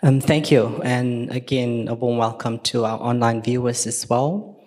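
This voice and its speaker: Slow-talking man. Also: medium pitch, steady tone, a little mellow. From South Africa. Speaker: panelist.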